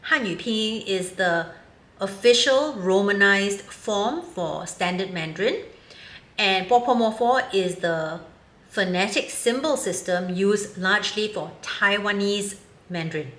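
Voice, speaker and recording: A woman's voice: medium pitch, a little slow, fairly bright tone. A woman in her fifties from Singapore, giving her frank, wry opinion in a commentary vlog. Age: 50s. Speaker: vlogger. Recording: roomy echo.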